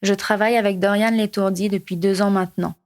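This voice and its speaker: Woman, podcast host, scripted narration, measured and reflective, mid-pitched voice. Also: steady tone, a little mellow. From Mauritius.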